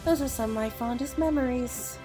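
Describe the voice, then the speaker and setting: A woman's voice: a little high, fairly quick, over music, close to an everyday voice. A woman voicing a refined, supportive merchant chairwoman from a fantasy game in formal, eloquent phrasing. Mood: fond, encouraging.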